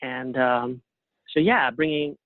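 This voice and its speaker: A man speaking as an interview guest in a casual, thoughtful, halting way over a tinny phone-like connection. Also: mid-range voice, no room sound. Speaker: interview guest, playwright and artist. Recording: narrow tinny phone-like line.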